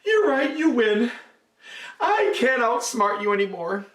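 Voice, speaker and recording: A man voicing a clever, cheeky male monkey in a told story, talking in a high, excitable way. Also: a little slow, far from the mic, full character voice. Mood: sly. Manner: squeaky, excitable, gleeful. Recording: echoey room, voice off-mic.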